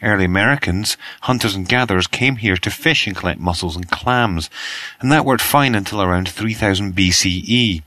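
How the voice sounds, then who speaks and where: A British man hosting a news podcast, reading the bulletin in a croaky voice from a cold and spelling out web addresses slowly. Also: fairly low pitch, bright, forceful tone, no room sound. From Britain.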